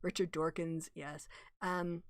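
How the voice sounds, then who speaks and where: A woman's voice: lowish voice, little pitch movement, slow pace, dry recording, studio quality. A woman hosting a casual livestream, talking through ideas with restarts and answering viewer comments. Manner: thinks aloud with restarts, explanatory and opinionated. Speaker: livestream host.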